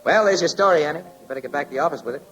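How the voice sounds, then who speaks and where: An actor playing an easygoing, clever newspaper photographer sleuth, genially explaining, 1940s radio style. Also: male voice, a little high, very fast, fairly bright tone, faint music behind, natural voice, rough recording. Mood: amused.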